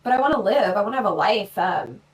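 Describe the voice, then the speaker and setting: Woman, forties, blunt and brash, self-mocking, mid-pitched, casual live chat. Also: expressive, fast talker. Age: 40s. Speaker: podcast guest.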